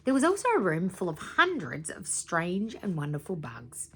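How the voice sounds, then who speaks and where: Highly animated woman reading a storybook. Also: moderate pace, slight background noise. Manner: animated, dramatic emphasis with asides to young listeners. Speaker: teacher reading aloud to primary school students. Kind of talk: expressive children's storybook read-aloud.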